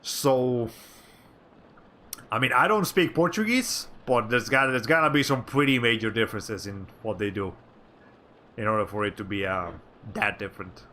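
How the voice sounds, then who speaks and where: A man's voice: mid-range voice, normal speed, pushed and bright, slight background noise. A man from Latin America streaming a game, chatting casually in a rambling, self-correcting way with wry asides. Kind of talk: casual chat while playing a game.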